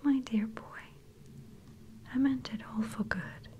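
A woman voicing a gentle, pleading widowed mother in a soft whisper, reading a storybook aloud. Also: mid-range voice, fairly even intonation, slow, slight background noise, natural voice.

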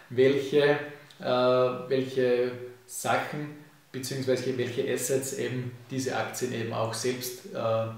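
A man, casually explaining finance to camera in a relaxed way, full of fillers. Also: mid-range voice. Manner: relaxed, frequent fillers and "eben", hand gestures. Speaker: finance YouTuber. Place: home office with bookshelves, indoors. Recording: echoey room, distant mic.

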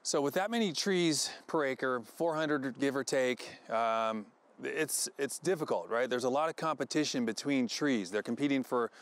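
A middle-pitched American man speaks as a researcher outdoors. He explains things to camera in the style of an educational film. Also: normal speed. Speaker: forest researcher. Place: outdoors in a forest.

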